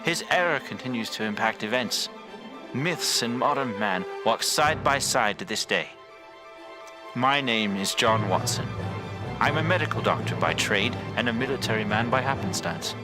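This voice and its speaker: An actor playing a dignified military doctor, narrating an audio drama in a grave, formal manner. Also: male voice, medium pitch, expressive, moderate pace, music in the background, natural voice.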